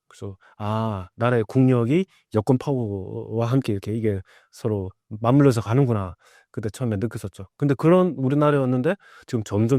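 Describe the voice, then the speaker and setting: A man's voice: medium pitch, mellow, no echo, studio quality. A middle-aged man speaking as an expert guest, explaining in a conversational, emphatic way with rhetorical questions. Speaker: guest expert, professor of American culture. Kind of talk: explanatory interview talk.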